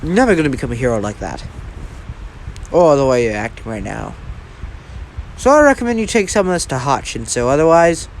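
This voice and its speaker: A man's voice: medium pitch, very animated, slight background noise, poor audio. A man voicing a smug, condescending teenage hero student in an anime-style story, mocking his rival. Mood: smug scolding. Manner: mocking, condescending, drawn-out taunts. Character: smug, taunting teenage superhero student with evil smile.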